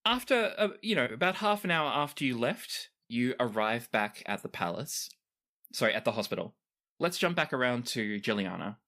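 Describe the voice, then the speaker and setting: A man's voice: medium pitch, very animated, moderate pace, bright, forceful tone. A man working as game master, narrating an improvised tabletop roleplaying story.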